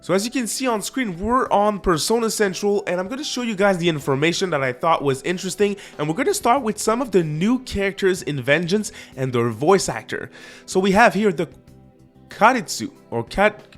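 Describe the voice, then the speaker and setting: A young man with a French accent, talking about games with eager energy and a slightly high voice. He trips over hard names now and then. Faint music plays underneath. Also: expressive, a little fast, a bit bright. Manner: enthusiastic, stumbles over names. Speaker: gaming news host. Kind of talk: casual news commentary reading from a website.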